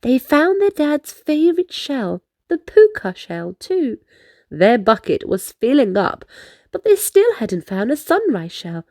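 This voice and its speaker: Slow, animated woman reading a storybook. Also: high voice, no room sound, studio quality. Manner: expressive, storytelling. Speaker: storybook reader. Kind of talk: children's read-aloud narration.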